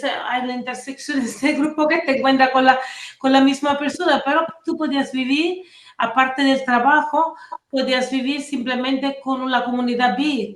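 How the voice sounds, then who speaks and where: A woman from Naples with an Italian accent, a native Italian speaker, telling personal stories as an activist guest in a casual interview, animated and full of restarts. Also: high-pitched. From Italy, Naples. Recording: roomy echoey room.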